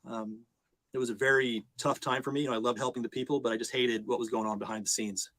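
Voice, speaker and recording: A man's voice: mid-range voice, fairly even intonation, quick pace, a bit bright, no echo, rough recording. A man speaking casually and unscripted to live stream viewers, hesitant, polite and plain-spoken.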